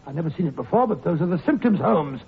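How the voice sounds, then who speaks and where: A jittery, fretful companion in an old radio play, his high voice swooping up and down. The sound is thin and tinny like an old broadcast, with faint hiss behind. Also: big pitch swings, fast talker, husky, full character voice. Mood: horrified. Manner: jittery, fretful, easily alarmed. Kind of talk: 1940s radio acting.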